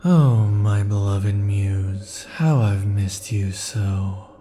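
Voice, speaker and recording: A man voicing a clingy, possessive immortal male lover in an ASMR roleplay, murmuring softly with sighs. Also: on the low side, very slow, a little hiss or hum. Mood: tender possessive. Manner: soft, sighing, lingering murmur.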